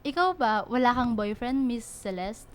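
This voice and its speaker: Woman acting a high-voiced earnest young Filipina girlfriend. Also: moderate pace, clear, resonant tone, close to an everyday voice. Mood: shy, polite. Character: polite, earnest young girlfriend dreaming of becoming doctor. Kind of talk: radio drama acting.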